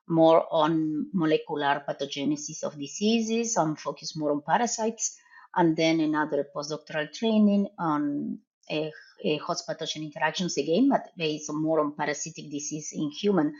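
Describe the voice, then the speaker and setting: A middle-aged woman from Argentina with a Spanish accent, a veterinary professor explaining her research in a podcast interview, measured and pausing often. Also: deep voice, darkish tone, husky, muffled recording. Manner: measured, explanatory, with frequent "uh" pauses.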